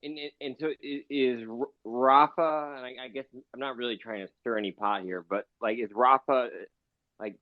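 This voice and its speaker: A man co-hosting a casual interview, asking questions in a halting, tentative way. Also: mid-range voice, no room sound. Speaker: interviewer, co-host. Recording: slightly dull online audio chat.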